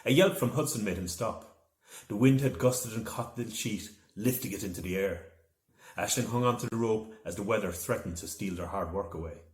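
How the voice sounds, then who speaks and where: A man reading a children's storybook aloud in an expressive, dramatic storytelling manner. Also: fairly low pitch, normal speed. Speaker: author reading from own novel. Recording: echoey room.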